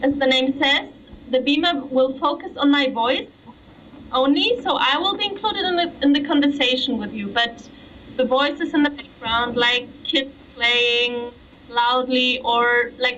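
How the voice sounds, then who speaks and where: Slow, bright-voiced woman demonstrator. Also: high-pitched. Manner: slow, explanatory, checking in with "okay?". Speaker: product demonstrator. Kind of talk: live product demonstration. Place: trade fair booth. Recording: dull distant audio with trade fair noise.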